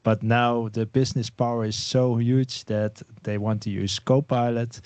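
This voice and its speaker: A man from the Netherlands, a guest on an online panel, talking in a relaxed way with lots of fillers. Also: mid-range voice, a little slow, no echo. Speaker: Microsoft 365 specialist guest. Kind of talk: casual online panel talk.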